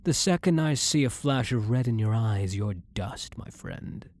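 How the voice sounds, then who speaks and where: A man's voice: mid-range voice, expressive, unhurried, darkish tone, no room sound, clean studio sound. A man playing a centuries-old vampire hunter who is himself a vampire, blunt and brooding, in a dramatic ASMR roleplay monologue. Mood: menacing, taunting.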